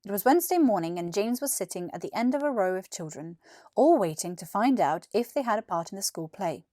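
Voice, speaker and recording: A British woman in her twenties reading a children's story in an expressive, clearly articulated narration. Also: mid-range voice, fairly quick, dry recording, clean studio sound. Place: small furnished room indoors. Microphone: lapel mic.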